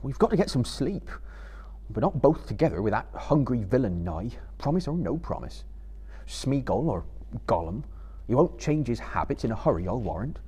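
A man's voice: mid-range voice, very animated, normal speed, mellow, slightly rough. A man voicing a loyal, suspicious hobbit servant who talks bluntly, in a live story reading. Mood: distrustful. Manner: blunt, rustic turns of phrase.

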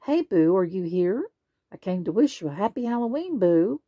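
A woman's voice: medium pitch, big pitch swings, moderate pace, breathy edge, no echo, dull audio. A woman voicing a big, friendly, sleepy, furry male monster in a storybook, murmuring and sighing. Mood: friendly, hopeful.

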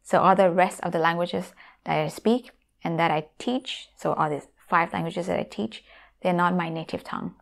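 A woman in her thirties with a Thai accent chats straight to camera from her room at home. Her voice sits low and has a gravelly, fry-tinged edge. She speaks through a clip-on mic in a friendly, direct way. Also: fairly even intonation, moderate pace. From Thailand. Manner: friendly, direct address to viewers. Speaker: YouTuber and language teacher. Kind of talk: casual talk to camera. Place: home room with guitars on the wall. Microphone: lapel mic.

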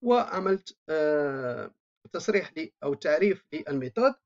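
A coding teacher talks through a screen recording, pausing often on long filler sounds. The pitch swings up and down a great deal. The voice comes through a dull, lo-fi microphone. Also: very animated. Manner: hesitant, frequent drawn-out filler sounds, thinking aloud while typing. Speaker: programming instructor. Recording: dull lo-fi voice-over mic.

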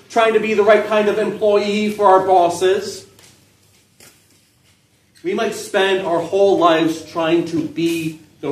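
A middle-aged male pastor preaching slowly and deliberately, his phrase endings dropping soft and low. His voice sits a little high for a man. He stands back from the mic in an open pavilion, so the sound is roomy and distant. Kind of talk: sermon. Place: open-air park pavilion. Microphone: stand mic, filmed from a distance.

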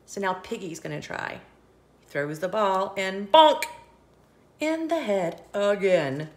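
A woman reading a picture book aloud for children, expressive, with questioning asides to young listeners. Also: medium pitch, takes it slowly. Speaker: children's story reader and host.

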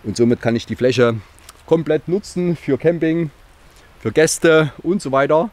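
A man's voice: a little high, unhurried, a little mellow, dry recording. A man in his forties talks casually to a camera he holds at arm's length, out in the open on a rural yard. He rambles along cheerfully, hopping from one plan to the next. A slight cold sits in his voice. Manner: chatty, rambling, upbeat. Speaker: vlogger. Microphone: handheld camera at arm's length.

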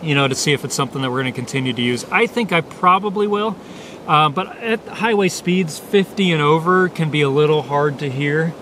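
A man in his thirties with a slightly high voice talks through gear in a loose, unscripted review. He meanders and hesitates as he explains. It is recorded outdoors on a camera, with clear background noise. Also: a little fast. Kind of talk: casual, unscripted product review. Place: outdoors in dry grass by parked motorcycles.

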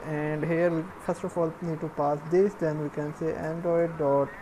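A woman giving a step-by-step programming tutorial, dictating in a halting, piecemeal way as she works. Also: deep voice, dark tone, slight background noise, rough recording. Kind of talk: step-by-step screencast tutorial, spoken while typing.

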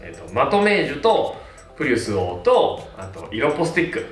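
A man in his thirties, a hairstylist, casually reviewing hair products with a relaxed, filler-heavy manner. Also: mid-range voice, a little fast, distant mic. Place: small plain indoor studio. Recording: roomy sound, mic set back, faint music.

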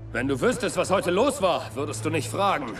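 A brash young soldier from a video game. His voice sits a little high and carries a wry, impatient edge. Music plays clearly behind him. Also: sing-song, brisk. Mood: irritated, wry. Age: young adult. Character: brash young soldier son, irritated and cheeky.

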